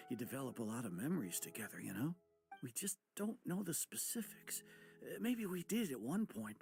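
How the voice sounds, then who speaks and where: A man's voice: mid-range voice, vocal fry. A man voicing a weary, apologetic male village trader who talks hesitantly and stammers, with faint game music behind. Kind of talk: game cutscene voice acting.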